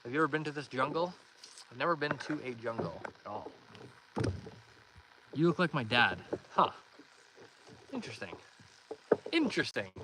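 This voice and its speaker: A nineteen-year-old man from Northern Ontario with a Canadian accent, chatting in a relaxed, friendly way and reading viewer comments aloud on a live stream. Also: medium pitch, normal speed, pauses often. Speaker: live-streaming fishing host. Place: outdoors on a lake in a canoe. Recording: outdoor stream with clear background noise. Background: water and boat noises.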